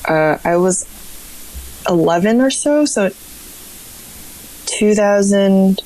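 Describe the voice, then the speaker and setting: A woman's voice: medium pitch, lively intonation, takes it slowly, dark tone, low-quality recording. A woman in her thirties from Hong Kong, a surgeon guest chatting casually on a podcast and explaining things plainly with many fillers.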